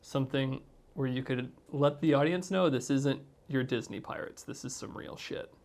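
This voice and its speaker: A man's voice: medium pitch, moderate pace, vocal fry, darkish tone. A man who creates audio drama, talking in an interview in an earnest, enthusiastic and reflective way.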